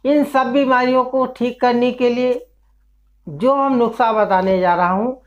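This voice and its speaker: A man who works as a traditional herbal healer, explaining a home remedy in a slow, repetitive way and listing one ailment after another. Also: very high-pitched, steady tone. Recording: dull lo-fi sound.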